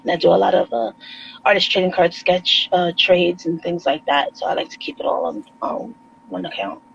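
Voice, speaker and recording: A woman with a New York accent, a guest artist on a casual video call chat, relaxed and quick to laugh, with rapid asides. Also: on the low side, gravelly, highs cut off, slight background noise.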